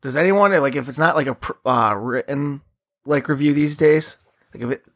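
A man co-hosts a podcast with a voice gone hoarse and gravelly. He talks casually and haltingly, leaning on "like" as he searches for words. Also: mid-range voice, fairly bright tone. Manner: halting, says "like" a lot. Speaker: podcast co-host. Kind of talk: casual chat.

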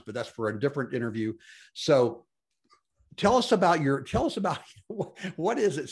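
A man hosting a podcast, asking long, winding questions with enthusiastic slang. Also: medium pitch. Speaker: podcast host, interviewer. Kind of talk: casual interview questions.

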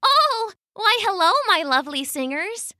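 A friendly storybook mother otter , acted out by a woman, chirpy and musical. The voice is very high and bright, gushing in a singsong way. It is recorded close and dry. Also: very animated, measured pace, no room sound, full character voice. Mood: delighted. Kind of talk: children's storybook character voice.

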